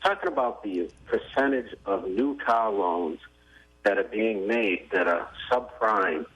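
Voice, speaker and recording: Male radio caller, lowish voice, casual explainer, muffled phone line. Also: animated, unhurried, fairly bright tone. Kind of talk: casual explanatory call-in talk. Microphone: telephone. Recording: dull phone call on radio.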